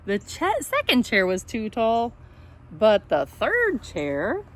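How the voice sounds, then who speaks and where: Female storytime host, lively pitch swings, unhurried pace, playful asides to young listeners. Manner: addresses children directly, playful and dramatic. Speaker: storytime host reading a picture book. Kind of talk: animated read-aloud for children with chatty asides.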